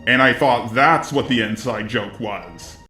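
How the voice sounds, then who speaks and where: A man's voice: medium pitch, unhurried, bright, forceful tone. Male commentary host, synthetic robot-like voice, sarcastic and argumentative, echoey with music underneath. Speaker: video host. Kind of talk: scripted commentary on online drama.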